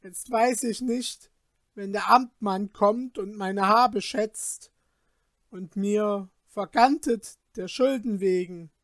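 A reader voicing a reckless young man, newly rich and boastful, talking loudly and in agitation. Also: medium pitch, slow, no echo, studio quality, close to an everyday voice. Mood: despairing.

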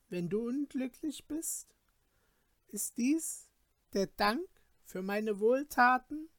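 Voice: medium pitch, no echo, voice pushed up, close to an everyday voice. A reader voicing a tiny male forest spirit made of glass, stern and reproachful. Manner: reproachful, questioning.

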